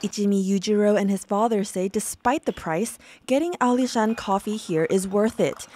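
A woman narrating a news report in a measured, scripted delivery. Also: mid-range voice, noisy background. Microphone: studio voice-over mic.

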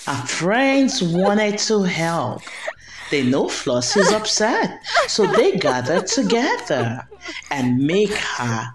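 Woman storyteller, children's read-aloud, highly varied pitch, unhurried deliberate delivery, roomy sound. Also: background noise. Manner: expressive, deliberate enunciation for young listeners. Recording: roomy echo, background music. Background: music.

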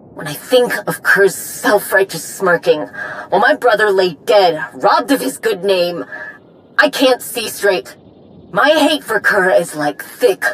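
A woman playing a tough, loyal young warrior in a video game, blunt and earnest, with a slightly husky voice. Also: lowish voice, fairly bright tone, slight background noise, poor audio. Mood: bitter, seething. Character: tough, vengeful yet loyal armored Mandalorian warrior woman. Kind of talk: video game cutscene acting.

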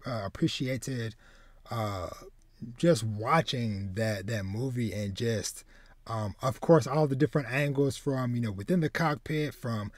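A man in his thirties with a medium-pitched voice chats casually as a podcast host. He rambles in an animated way, often doubling back on words. The sound is close and dry. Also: unhurried, no echo. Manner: animated, rambling, repeats words while searching for phrasing. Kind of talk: casual chat, retelling a film plot. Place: home room with bookshelves, indoors.

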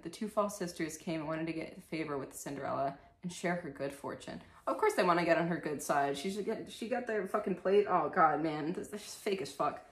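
A young woman hosting a dramatic storybook reading, with casual, irreverent asides, recorded in a bedroom. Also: lowish voice. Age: young adult. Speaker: storyteller and video host. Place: indoors, small bedroom. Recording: echoey room.

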